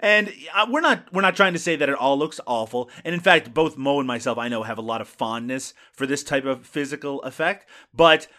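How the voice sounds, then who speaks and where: A man's voice: medium pitch, fairly quick, few pauses, bright, forceful tone. Wry, swearing man reviewing movies. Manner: laughing, profane, wry. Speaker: podcast co-host. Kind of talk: casual movie review chat.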